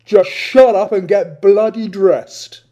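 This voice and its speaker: A man's voice: sits high, slow, mellow, pitched above the performer's own voice. A man voicing a hairy, squat, angry schoolboy prefect with a blunt, hostile snarl, in a novel reading. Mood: bellowing.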